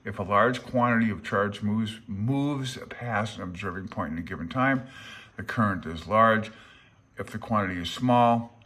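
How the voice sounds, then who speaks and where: Deep-voiced man, casual and plain-spoken. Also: normal speed, a bit bright. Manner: plain-spoken, self-deprecating, mildly profane. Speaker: amateur radio hobbyist and vlogger. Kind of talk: casual rambling commentary while paging through a handbook.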